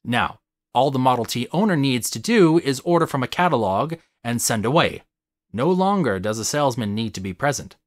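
Male essay narrator, mid-pitched, articulate and measured, recorded close and dry with emphasis on key words. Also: lively intonation, no room sound. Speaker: video essayist. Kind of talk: scripted narration, analytical video essay.

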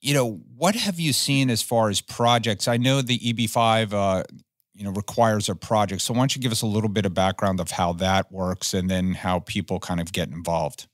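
A man's voice: on the low side, normal speed, no echo, clean studio sound. A man hosting a business interview podcast, asking friendly, rambling questions. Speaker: podcast host.

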